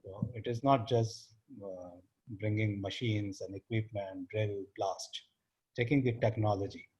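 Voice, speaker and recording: Husky-voiced Indian man, halting speech. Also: on the low side, fairly even intonation, low-quality recording. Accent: Indian English. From India (Uttar Pradesh). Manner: halting, frequent fillers and restarts, trails off quietly. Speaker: interview guest, business founder. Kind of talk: casual interview talk.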